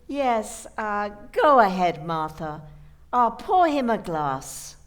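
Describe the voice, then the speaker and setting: A woman playing a sweet, sociable elderly aunt in an old-time radio comedy, fussing and gently coaxing. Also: mid-range voice, big pitch swings, slow pace. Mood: hospitable.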